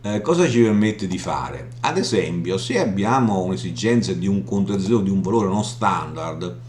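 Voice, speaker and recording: A man giving an informal software walkthrough, explaining haltingly and often checking in as he goes. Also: deep voice, lively intonation. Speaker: software tutorial presenter. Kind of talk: informal screen demo walkthrough. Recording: roomy echo, audible background hum noise.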